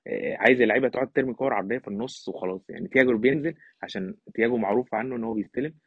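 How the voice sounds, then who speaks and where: Egyptian man on a phone-like line, talking fast. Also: mid-range voice, breathy edge. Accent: Egyptian Arabic. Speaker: football podcast guest. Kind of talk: casual football analysis. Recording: thin phone-like line, slightly roomy.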